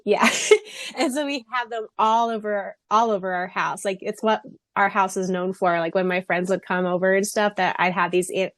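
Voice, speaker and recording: A woman's voice: medium pitch, steady tone, normal speed, fairly bright tone, no echo, clean studio sound. A woman co-hosting a podcast, chatting casually in a giggly, folksy, informal way. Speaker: podcast co-host. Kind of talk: casual chat, anecdote telling.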